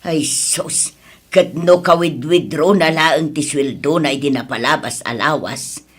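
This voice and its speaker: Woman playing a slow, low-voiced village auntie. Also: breathy edge. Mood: concerned, gossipy. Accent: Ilocano. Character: gossipy middle-aged village auntie. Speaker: actor in a radio drama. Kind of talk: radio drama acting.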